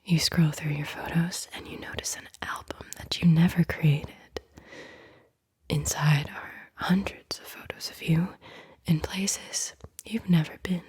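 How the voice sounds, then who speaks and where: A woman's voice: low voice, steady tone, a little slow, a little mellow, breathy edge. A woman whispering a playful guided visualization as an ASMR host, close to the mic.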